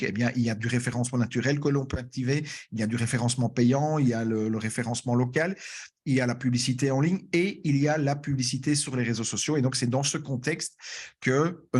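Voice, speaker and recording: A man hosting an online masterclass over a video call, speaking haltingly with many fillers and restarts. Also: medium pitch, a little mellow, slightly rough. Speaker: webinar host.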